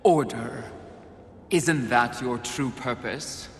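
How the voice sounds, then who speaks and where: A performer playing a haughty, persuasive sin demon in a video game, speaking in a measured, grandiose way. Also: female voice, a bass voice. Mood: seductive, grand. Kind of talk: video game villain acting. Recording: echoey hall, faint noise behind.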